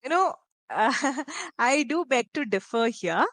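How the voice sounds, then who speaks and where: Woman, Malayalam native, English tutor, encouraging, slightly high voice, dry recording. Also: expressive, slow pace, fairly bright tone, no echo. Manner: encouraging, talks in long flowing turns with "you know" and "right?". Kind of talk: one-on-one conversation lesson.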